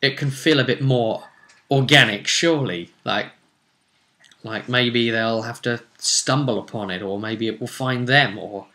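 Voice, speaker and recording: A man's voice: medium pitch, unhurried, sharp tone. A man recounting a tabletop roleplay session as game master, storytelling and musing aloud with rhetorical questions. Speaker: game master recapping a campaign.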